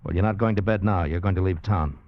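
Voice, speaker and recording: An actor playing a scheming, greedy man in a vintage radio drama, in a hard-boiled confessional and smoothly persuasive way. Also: low voice, fast talker. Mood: controlling, urgent. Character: scheming, greedy man narrating his murderous affair. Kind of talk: 1940s-50s radio drama acting. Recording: dull vintage radio recording with music.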